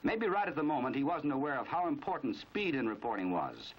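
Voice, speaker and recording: A man's voice: medium pitch, sing-song, fairly quick, breathy edge. An American man narrating a 1950s crime training film in a knowing, dramatic storytelling manner. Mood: wry, measured. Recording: dull, band-limited 1950s film soundtrack.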